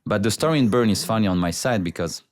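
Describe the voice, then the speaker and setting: A man whose first language is Spanish, born in Costa Rica and raised in Switzerland, answering interview questions in a relaxed, measured way with many hesitant fillers. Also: lowish voice, no echo, studio quality. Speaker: guest, pharma country director.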